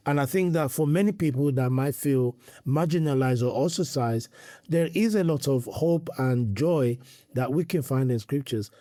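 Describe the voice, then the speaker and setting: A man's voice: medium pitch, warm tone, dry recording. A middle-aged man from Nigeria, a priest answering interview questions earnestly and quoting scripture. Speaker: priest and guest.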